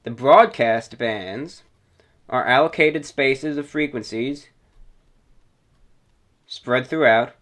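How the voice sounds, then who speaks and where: A man's voice: medium pitch, slow pace, pauses often, fairly bright tone. A man hosting a hobby show, talking informally to his listeners and explaining things step by step in a didactic way. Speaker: host of a radio hobby show.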